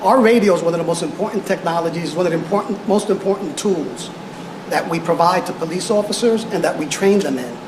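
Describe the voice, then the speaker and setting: An echoey room with clear background noise surrounds a fairly high-voiced man. He is an official answering at a press conference, choosing formal words haltingly and often repeating himself.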